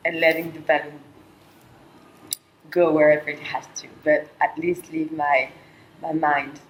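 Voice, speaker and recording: A middle-aged woman from Colombia speaks in a lower register, soft and halting, with many small pauses and fillers. She talks in a reflective, personal monologue, and a faint hum of ambient noise sits under her voice. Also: rough recording. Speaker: vlogger sharing a personal experience.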